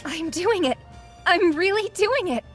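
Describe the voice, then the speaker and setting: A woman's voice: extremely high pitch, lively intonation, measured pace, soft background music. A woman voicing an eager, shy, sheltered teenage girl in a video game. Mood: thrilled. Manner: earnest, youthful. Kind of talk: video game character acting.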